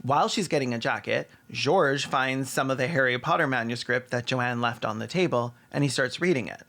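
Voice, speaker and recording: A man's voice: mid-range voice, fairly quick, bright, forceful tone. A man delivering sarcastic recap commentary as a video host, mocking what he describes and acting out bits of dialogue. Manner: sardonic, mocking, acts out dialogue.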